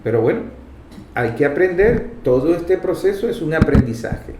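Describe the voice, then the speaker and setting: A man's voice: a little high, lively intonation, normal speed, warm tone. A Venezuelan man hosting a political commentary program, speaking in an emphatic, didactic way and repeating key phrases. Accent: Venezuelan Spanish. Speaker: radio program host and commentator. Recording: roomy echo, faint background noise.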